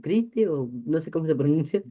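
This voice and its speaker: A man speaking as a student in a language class, tentatively trying out a pronunciation, on dull low-fidelity audio. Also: lively intonation, a little fast.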